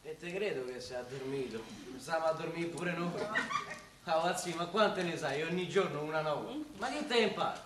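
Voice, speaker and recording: A man's voice: fairly high pitch, normal speed, distant mic, noisy background, natural voice. An actor playing a wry, easygoing Roman working-class family man in a dialect radio drama. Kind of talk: naturalistic stage-style radio drama acting in dialect. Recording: echoey room, slightly off mic.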